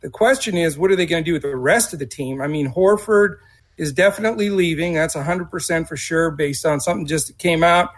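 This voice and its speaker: A man's voice: a little high, fairly quick. A man giving casual basketball analysis on a podcast, sounding confident and using many "you know"s and "I mean"s.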